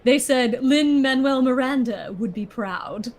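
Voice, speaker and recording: A woman's voice: high voice, measured pace, a little mellow. A woman voicing a playful female bat from a video game, chatting casually as a livestream co-host. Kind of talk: in-character improvised livestream banter. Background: background music.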